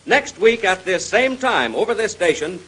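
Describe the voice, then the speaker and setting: A male radio announcer in 1930s style, giving an emphatic contest sales pitch. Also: big pitch swings, normal speed, a bit bright, breathy edge. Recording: noisy vintage radio recording.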